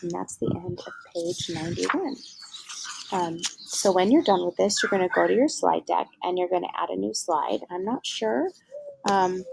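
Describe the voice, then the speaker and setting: A woman teacher reading a novel aloud to her class, casually pausing to pose questions and give instructions with fillers. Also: lowish voice, creaky, background noise.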